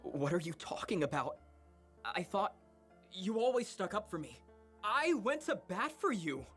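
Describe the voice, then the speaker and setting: A man's voice: high voice, very animated, unhurried, fairly bright tone, breathy edge, faint music behind, full character voice. A man playing an earnest, defensive, relentlessly upbeat boy in a visual novel, easily wounded. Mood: hurt, confused.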